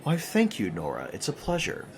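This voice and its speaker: A man's voice: fairly low pitch, huge pitch swings, normal speed. A man playing a showy news show host in an audio drama, speaking like a presenter with dramatic emphasis. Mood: polite. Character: male TV news reporter, showy but easily rattled. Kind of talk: audio drama acting as broadcast narration.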